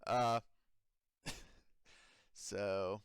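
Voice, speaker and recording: A man running a tabletop roleplaying game, narrating off the cuff in a casual, halting way. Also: lowish voice, steady tone, clean tone. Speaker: game master.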